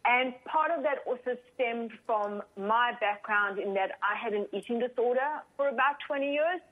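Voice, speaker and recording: A woman's voice: on the high side, moderate pace. South African woman on a phone line. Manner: open, chatty storytelling. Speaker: guest coach. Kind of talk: podcast interview. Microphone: phone call. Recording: phone-line call audio.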